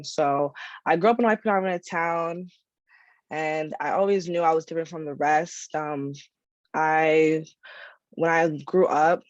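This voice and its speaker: A woman's voice: deep voice, dry recording, lo-fi sound. A young woman, raised speaking Haitian Creole and English, giving a class presentation in a casual way with many "um"s and "like"s. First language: Haitian Creole and English from childhood. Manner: casual, lots of fillers like "um" and "like", self-correcting. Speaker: student presenter.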